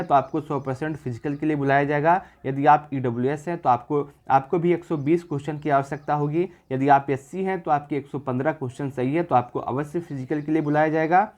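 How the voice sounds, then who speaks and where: A man's voice: fairly high pitch, steady tone, brisk, hardly any pauses, a little mellow. A man presenting an exam-prep video, explaining figures informally in an assured, repetitive way. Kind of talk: informal explanatory data commentary.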